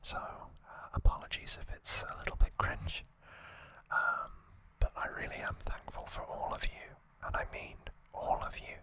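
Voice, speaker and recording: An ASMR host speaking in a gentle, halting, unvoiced whisper throughout. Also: rough recording. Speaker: host of an anime trivia channel.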